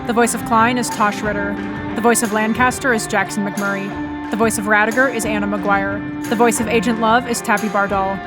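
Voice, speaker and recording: Female credits reader, mid-pitched, scripted read over prominent music. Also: steady tone, moderate pace.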